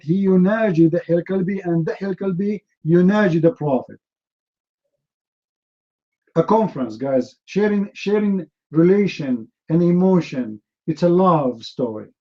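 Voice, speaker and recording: A man's voice: sits high, a little slow. A man whose first language is Arabic, hosting a heated online debate, combative and mocking, pressing his opponent again and again. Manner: combative, mocking, repeats demands. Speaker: debate host. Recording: dull lo-fi stream audio.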